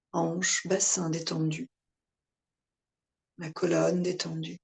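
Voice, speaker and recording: A woman leads a guided relaxation as a yoga teacher. Her delivery is calm and soothing, very slow and broken into short phrases. The voice stays flat and even, with a noticeable creak. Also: deep voice. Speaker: yoga nidra instructor.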